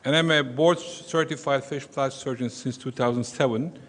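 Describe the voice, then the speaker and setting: Turkish-accented surgeon lecturing in a hall. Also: male voice, medium pitch, steady tone, normal speed, a bit bright. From western Turkey. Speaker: surgeon giving a conference talk. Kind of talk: conference lecture. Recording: long reverb of a conference hall.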